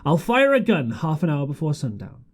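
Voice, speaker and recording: A man's voice: a little high, huge pitch swings, normal speed. A man voicing a grave, resolute sea captain in a storybook, firm and plain-spoken. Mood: addressing crew. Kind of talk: storybook character reading.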